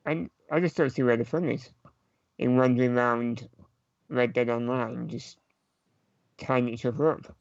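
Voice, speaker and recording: Man, creaky gravelly voice, medium pitch, halting casual podcast chat, very dry close sound. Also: steady tone, dry recording, dull audio. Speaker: podcast panellist.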